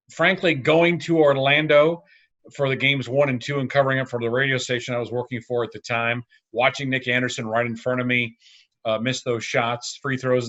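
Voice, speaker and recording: A man in his forties, a sports radio announcer, telling animated anecdotes as a guest over a video call. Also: medium pitch. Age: 40s. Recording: dull, lo-fi video-call audio.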